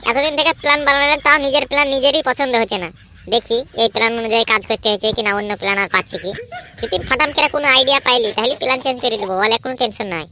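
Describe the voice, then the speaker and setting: A woman's voice: high voice, very fast, sharp tone, slight background noise. A woman voicing a scheming little village boy in a comedy cartoon, talking to himself. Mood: plotting.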